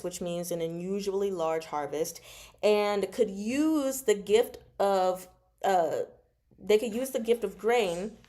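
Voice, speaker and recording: A woman with a mid-pitched voice hosts a casual book discussion. She retells scenes with slangy, emphatic delivery, slipping into characters' dialogue in her own voice. She often punctuates her points with short check-in questions. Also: a little mellow. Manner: punctuates points with "okay?" and "right?". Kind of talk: casual animated recap and commentary on a novel.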